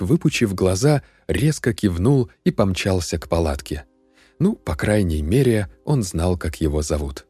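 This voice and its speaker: Deep, animated male audiobook narrator. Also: husky, no echo. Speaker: audiobook reader. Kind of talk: scripted first-person narration.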